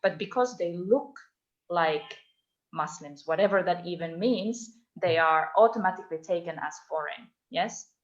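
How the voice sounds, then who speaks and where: Woman researcher, low-pitched voice, hesitant with ums, muffled video-call sound. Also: moderate pace. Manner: thoughtful, frequent ums and restarts. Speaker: invited speaker, doctoral researcher. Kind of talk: online talk sharing research. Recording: dull lo-fi online call audio.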